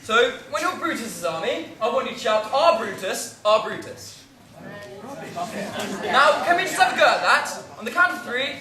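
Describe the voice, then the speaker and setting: A man's voice: very high-pitched, big pitch swings, normal speed, crowd noise. An actor playing an exasperated amateur theatre director, bossy and theatrical as he marshals his cast. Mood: instructing. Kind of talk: acted comedy drama dialogue. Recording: echoey room, some distance, faint noise.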